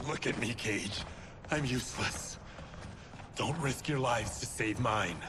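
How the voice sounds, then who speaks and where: An actor playing a proud, wounded warrior in despair in a video game, terse and serious. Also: male voice, medium pitch, slow, lightly acted. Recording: loud background noise and ambience.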